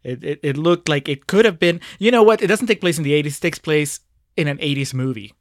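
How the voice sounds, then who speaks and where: A man's voice: fairly high pitch, fairly quick, no room sound, clean studio sound. A man from Peru co-hosting a podcast, talking casually with hesitant fillers and chuckles. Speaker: podcast co-host.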